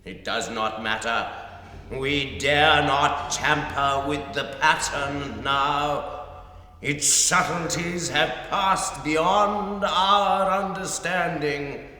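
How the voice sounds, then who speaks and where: An actor playing a stern, pompous older guild elder in a fantasy audio drama, speaking gravely and formally. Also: male voice, a little high, extremely slow, sharp tone, exaggerated voice. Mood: solemn. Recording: cavernous echoing hall reverb.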